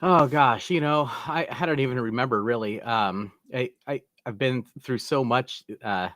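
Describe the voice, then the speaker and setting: A man's voice: medium pitch, normal speed, a bit bright, dry recording, clean studio sound. A man in his forties, a podcast guest, chatting genially with a few stammering starts. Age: 40s. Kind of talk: casual chat.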